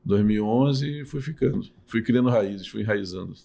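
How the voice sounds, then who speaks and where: Low, gravelly man explaining. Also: normal speed, mellow. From Salvador, Bahia, Brazil. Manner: patient, teacherly explaining with frequent restarts. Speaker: urologist and professor. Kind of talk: explanatory interview talk.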